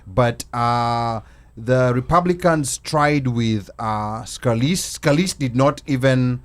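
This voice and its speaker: Male host, medium pitch, lively and repetitive in casual political chat. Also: takes it slowly. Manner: animated, repeats words when making a point. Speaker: show host. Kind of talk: casual panel talk.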